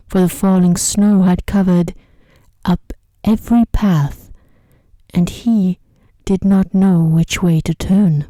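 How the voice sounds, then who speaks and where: A woman narrating a sleep story in a soft-spoken ASMR style, with a hushed, gentle, measured delivery close to the microphone. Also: fairly low pitch, dry recording.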